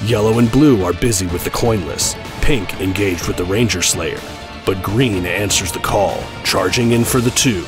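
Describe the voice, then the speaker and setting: A man narrating a scripted action audio drama, with loud music under his voice. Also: fairly low pitch, normal speed.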